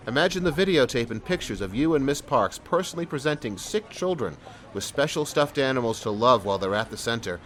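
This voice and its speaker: An actor playing an earnest, smooth-talking police sergeant who tells his story in an audio drama. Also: medium pitch, slight background noise, close to an everyday voice. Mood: coaxing. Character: persuasive, good-hearted male police sergeant and storyteller. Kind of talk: audio drama acting and first-person narration.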